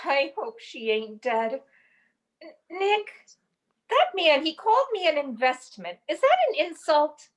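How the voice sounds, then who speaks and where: Lady doing brassy naive racket girl in an old radio mystery, high voice, really swoops around. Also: sing-song, unhurried. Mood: brassy, curious. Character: brassy, naive woman mixed up in rackets, nicknamed Bingo. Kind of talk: 1940s detective radio-play acting.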